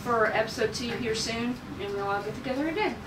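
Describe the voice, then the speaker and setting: A woman's voice: fairly high pitch, fairly quick. A woman directing a cast table read, talking casually with the group. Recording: echoey, very noisy room, distant mic.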